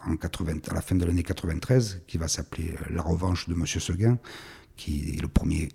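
Middle-aged southern French filmmaker, very low voice, halting delivery full of restarts. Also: male voice, creaky, dark tone, slightly rough. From southern France. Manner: halting, many restarts and fillers. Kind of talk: recounting his career.

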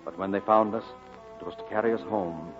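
Actor as a low-voiced, solemn reminiscing narrator. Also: male voice, fairly even intonation, fairly quick, lightly acted. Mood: solemn, reminiscent. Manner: solemn, lyrical. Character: grown man solemnly recalling his boyhood. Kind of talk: scripted narration in 1940s radio drama. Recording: dull old radio broadcast, clear music behind.

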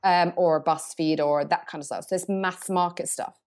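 A middle-aged woman speaking English, answering interview questions thoughtfully and often pausing to rephrase. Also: lowish voice, fairly even intonation, a little mellow. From Norway. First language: Norwegian. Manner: thoughtful, pauses to search for words and rephrases. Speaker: agency CEO, guest.

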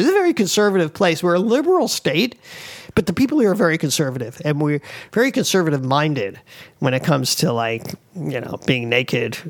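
A man's voice: sits high, gravelly, dry recording, studio quality. A middle-aged man with an East Coast accent, hosting a podcast and giving rambling casual advice with many fillers and restarts. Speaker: podcast host.

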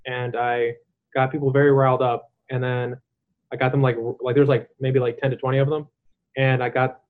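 A man's voice: medium pitch, flat delivery, fairly quick. A man hosting a podcast interview, rambling in casual chat with many fillers and restarts. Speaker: podcast host. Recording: tinny phone-like line.

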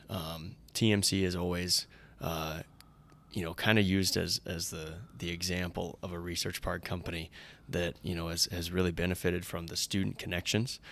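A man's voice: low voice, vocal fry. An American man hosting a podcast in an informal, conversational way. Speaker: podcast host. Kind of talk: conversational podcast intro and interview.

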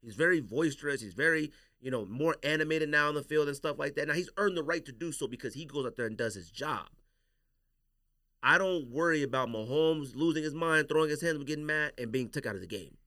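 A man's voice: a little high, a little fast, pushed and bright, no echo, clean studio sound. An American man hosting a casual sports podcast, giving emphatic, opinionated takes and repeating his points for emphasis.

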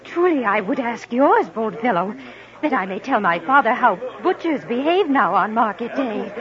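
An actress playing a proud, spirited highborn young maiden with a haughty, theatrical delivery in a radio drama. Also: female voice, sing-song, normal speed, crowd noise. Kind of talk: mid-century radio adventure acting. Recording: dull 1950s radio recording.

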